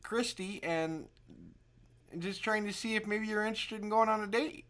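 A man's voice: sits high, moderate pace, pushed and bright. A man in his thirties improvising a casual prank call, talking in a rambling, hesitant way as if awkward. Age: 30s. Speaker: prank caller and livestream host.

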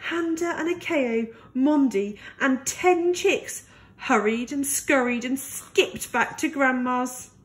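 A woman's voice: high-pitched, slow. A woman narrating a children's picture book aloud as a storyteller.

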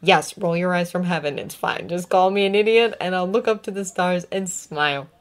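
A woman voicing a grieving young man who speaks in clipped, halting bursts. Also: on the low side, close to an everyday voice. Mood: tender.

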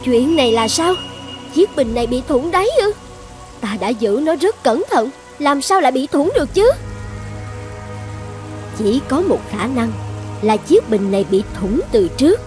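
A high female voice for a proud, passionate cartoon dragon goddess, acted by a woman. The delivery is theatrical and expressive, like a fairy tale told for children. Faint music plays underneath. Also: a little slow, pauses often. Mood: frustrated, suspicious. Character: proud dragon goddess, devoted wife turned vengeful.

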